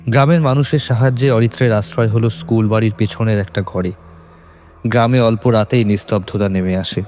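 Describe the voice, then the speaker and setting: Low-voiced man narrating horror over music. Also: fairly even intonation, a little mellow. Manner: suspenseful, measured phrasing. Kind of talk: scripted horror story narration. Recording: faint music behind.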